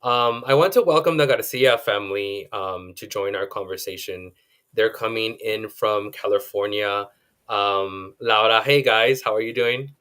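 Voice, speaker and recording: A man moderating a panel discussion, speaking in a measured, affirming way with frequent ums. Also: fairly low pitch, a bit bright, smooth.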